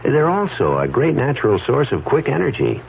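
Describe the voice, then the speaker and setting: A man's voice: mid-range voice, huge pitch swings, brisk, creaky. A man reading a scripted radio commercial as the announcer. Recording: tinny band-limited radio, faint hiss.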